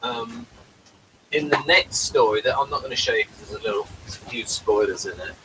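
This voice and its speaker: A man's voice: medium pitch, wildly swooping pitch, fairly quick, creaky, very noisy. A man hosting a casual review chat, explaining things and giving his opinions. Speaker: podcast host reviewing classic TV episodes.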